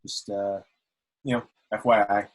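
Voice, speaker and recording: A man's voice: lowish voice, flat delivery, measured pace, lightly acted. A man playing an unflappable, casual starship engineer in a script read, nonchalant about everything. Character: unflappable, laid-back male starship engineer. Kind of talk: screenplay table read acting.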